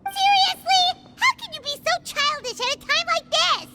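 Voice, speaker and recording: A woman voicing a tiny, childlike, excitable fairy companion with a squeaky voice in a video game. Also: normal speed, soft background music, exaggerated voice. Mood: exasperated.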